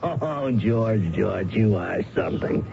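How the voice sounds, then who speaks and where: A man's voice: fairly low pitch, lively intonation, slow, gravelly, heavily acted. A man playing a cool, condescending crime boss, smug and dismissive, in a radio mystery drama. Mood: smug, amused. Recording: noisy muffled old radio recording.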